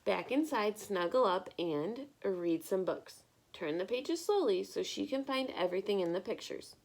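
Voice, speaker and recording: A woman reading a picture book aloud like a teacher, expressive and encouraging, pausing to put questions to young listeners. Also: mid-range voice, slight background noise, rough recording.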